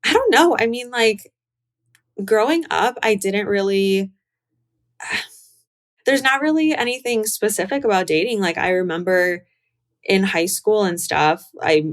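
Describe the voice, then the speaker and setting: A woman's voice: mid-range voice, unhurried, pauses often. A young American woman talking candidly and casually about herself as a podcast guest, in a reflective way. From Chicago, United States. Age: young adult. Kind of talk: casual conversational interview.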